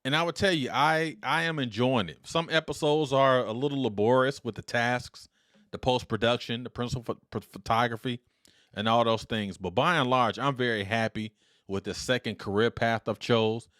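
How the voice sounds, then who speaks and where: A middle-aged man hosting his own show with a big, confident voice. He talks in an upbeat, deliberate way and speaks straight into a close dynamic mic. The room adds nothing, so the sound stays dry and close. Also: mid-range voice, a bit bright, no room sound. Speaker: podcast host. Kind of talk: solo podcast monologue. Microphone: dynamic studio mic.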